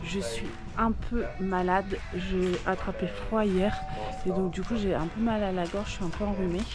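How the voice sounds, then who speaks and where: A woman speaking as a travel vlogger, in casual, expressive, slangy chat. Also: mid-range voice, moderate pace, music in the background.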